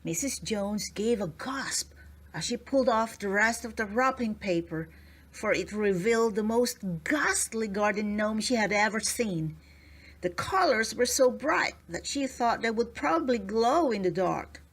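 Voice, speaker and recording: A woman's voice: on the high side, lively intonation, measured pace, slightly rough. Woman reading a children's storybook aloud. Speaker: children's book read-aloud narrator. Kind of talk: scripted storybook narration.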